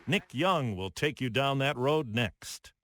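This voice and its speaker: A man's voice: medium pitch, expressive, slow pace, a crowd in the background. A man hosting a radio special with polished, scripted announcer narration. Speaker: news radio host.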